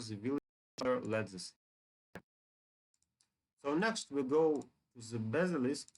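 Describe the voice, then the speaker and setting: A man giving casual walkthrough commentary on a game, halting a little as he thinks aloud. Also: on the low side, low-quality recording. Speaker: gamer presenting a game walkthrough.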